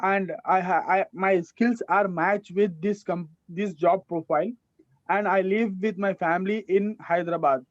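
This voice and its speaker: A man's voice: high voice, steady tone, normal speed, dry recording, lo-fi sound. Young Indian man reciting his introduction. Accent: Indian English. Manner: recites rehearsed lines with small restarts. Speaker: student. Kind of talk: practising a self-introduction.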